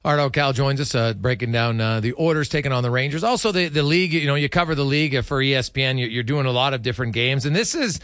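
A man's voice: medium pitch, fast talker, hardly any pauses, fairly bright tone, no echo, studio quality. A man hosting a casual, conversational sports radio interview, freely offering his opinions.

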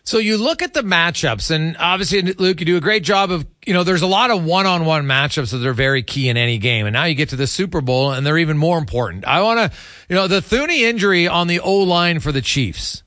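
A man with a bright, forceful voice, pitched a little high, hosts a sports interview. He asks his questions conversationally, and the sound is dry and close. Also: expressive, brisk, dry recording. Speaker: sports radio host, interviewer.

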